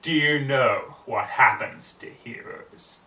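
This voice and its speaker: A man's voice: medium pitch, takes it slowly, bright, forceful tone. A man voicing a villainous pony queen in a storybook reading, theatrical, taunting and menacing. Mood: mocking menace. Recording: roomy, distant mic, background noise.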